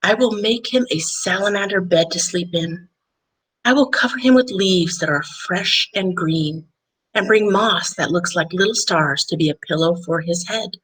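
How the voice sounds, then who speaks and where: A woman voicing an eager young boy with big plans in a gentle picture-book read-aloud. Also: on the low side, normal speed, husky, rough recording. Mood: earnest.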